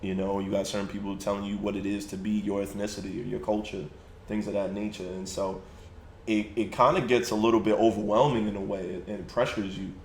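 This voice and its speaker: Low-voiced man hosting in a roomy space. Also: little pitch movement, fast talker, slight background noise. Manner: easygoing, posing questions to the group. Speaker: podcast host. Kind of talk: casual group discussion.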